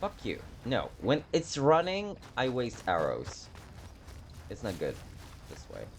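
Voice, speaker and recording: A man giving casual, rambling gameplay commentary, self-deprecating and quick to swear, as he streams a video game. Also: medium pitch, wildly swooping pitch, slight background noise.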